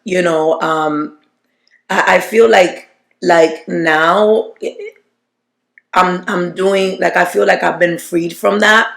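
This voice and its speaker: Gravelly woman in a roomy echo. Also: fairly low pitch, steady tone, slow pace, long pauses, a bit bright. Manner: reflective, often restarts, quotes scripture figures. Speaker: podcast co-host. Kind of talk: faith-based podcast conversation.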